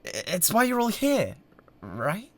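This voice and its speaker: A man's voice: high voice, wildly swooping pitch, slow pace, fairly bright tone, exaggerated voice. Man acting as a volatile British yandere streamer, wildly swooping. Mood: defensive, smug. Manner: stammering, defensive, theatrical. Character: bratty, unhinged, obsessive yandere male livestreamer. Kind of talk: dramatic audio roleplay monologue addressed to viewers.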